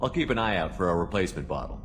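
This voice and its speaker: A man's voice: low-pitched, very animated, very fast, fairly bright tone, slight background noise. A man playing a businesslike starship commander in a video game, direct and authoritative. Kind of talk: video game cutscene voice acting.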